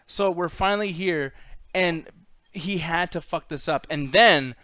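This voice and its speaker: A man's voice: a little high, a little slow, pushed and bright, no room sound. A man co-hosting a casual chat, telling rambling, profane anecdotes and acting out the quoted lines. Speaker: co-host of a movie discussion show.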